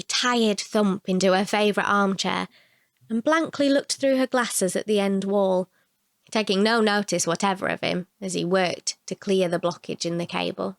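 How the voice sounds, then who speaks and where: A woman reading a scripted short story as narrator, with expressive storybook delivery. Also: mid-range voice, dry recording, clean studio sound.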